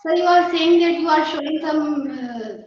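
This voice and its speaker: A woman's voice: high-pitched, normal speed, a little mellow. A woman interviewing someone on a video call, deferential and hesitant. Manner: deferential, often saying "sir", hesitant. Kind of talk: polite scripted interview questions with halting follow-ups. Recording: very echoey, distant, muffled call audio.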